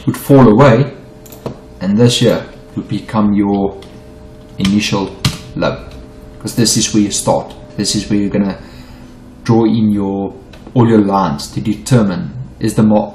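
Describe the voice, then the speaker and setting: Low-voiced South African man teaching. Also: darkish tone, reverberant. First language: Afrikaans. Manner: stumbling, repeats himself while explaining. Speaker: forex trading teacher. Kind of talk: unedited screen-share chart walkthrough.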